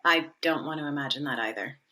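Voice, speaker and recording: An actress playing a composed, principled school department leader in an audio drama, speaking steadily and assertively. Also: female voice, low-pitched, fast talker, bright, forceful tone. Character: composed, principled female department leader defending her program.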